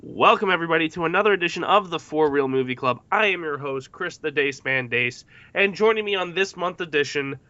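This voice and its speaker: A man's voice: on the high side, expressive, fairly quick, few pauses, sharp tone, no echo, dull audio. A man hosting a casual film discussion, partly reading from notes in a jokey, self-mocking way. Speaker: podcast host.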